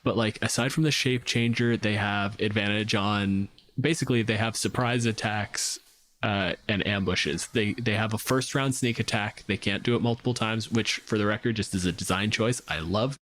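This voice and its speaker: A man's voice: lowish voice, normal speed, fairly bright tone. Casual, sweary man podcasting about gaming. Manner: sweary, analytical, informal. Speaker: podcast host. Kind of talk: casual podcast discussion of tabletop games.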